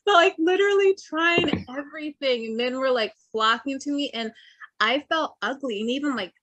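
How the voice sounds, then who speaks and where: A woman's voice: high voice, normal speed, fairly bright tone, no echo. A woman hosting a casual, personal interview, talking candidly and informally with frequent filler "like". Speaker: podcast host.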